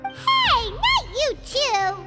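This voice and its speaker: A woman voicing a tiny, childlike fairy companion in a video game, squeaky, cheeky and excitable. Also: extremely slow, over loud music, exaggerated voice. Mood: flustered, indignant. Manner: squeaky, indignant, talks of herself in third person.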